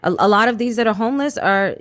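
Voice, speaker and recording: A woman's voice: medium pitch, quick pace, a bit bright. A middle-aged woman, hosting a casual radio conversation in a frank, slangy, streetwise way. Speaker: radio show host.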